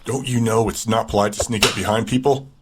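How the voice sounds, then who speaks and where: A man's voice: on the low side, fairly even intonation, fairly quick, lightly acted. An actor playing a cocky, menacing boss who taunts and commands his underling in an audio drama. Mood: cocky, commanding. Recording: loud noisy background with clicks.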